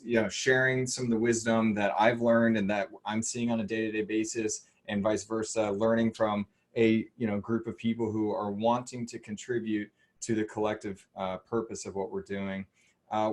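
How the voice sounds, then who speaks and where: A man in commercial real estate introducing himself on a video call webinar, talking earnestly and rambling with many restarts. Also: lowish voice. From Austin, Texas.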